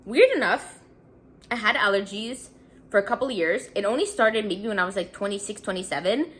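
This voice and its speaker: A woman's voice: medium pitch, animated, fairly quick, fairly bright tone, slight background noise. A woman around thirty from Montreal, congested and sniffling, chatting casually and rambling with many fillers while doing her makeup. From Montreal, Canada. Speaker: beauty vlogger. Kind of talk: casual get-ready-with-me chat.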